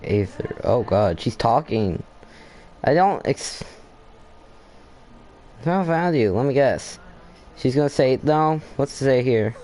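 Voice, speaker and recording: Slow-talking young man doing casual game commentary. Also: mid-range voice, lively intonation, dark tone. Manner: offhand, reacting aloud, talks back to the game. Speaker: gaming video creator.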